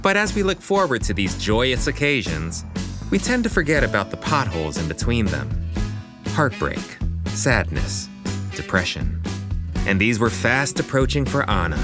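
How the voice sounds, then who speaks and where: A man narrating a scripted audio drama in a deliberate, dramatic way. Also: medium pitch, sing-song, music in the background.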